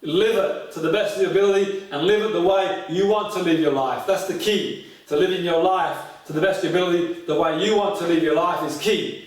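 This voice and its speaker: High-voiced male motivational speaker, very echoey room. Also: fairly quick. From Australia. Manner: direct address with repeated catchphrases and rhetorical questions. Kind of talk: motivational goal-setting seminar talk. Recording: very echoey room, distant mic.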